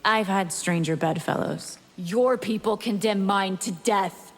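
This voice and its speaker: An actress playing a haughty, predatory vampire with a husky voice in a fighting game. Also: female voice, medium pitch, measured pace, long reverb tail. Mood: haughty, hungry. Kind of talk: fighting game character dialogue.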